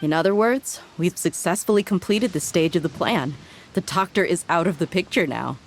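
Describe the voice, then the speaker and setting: A woman's voice: lowish voice, moderate pace, slight background noise, lightly acted. A woman playing an easygoing, practical young female mercenary in a game, speaking in a casual, frank way. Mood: thoughtful.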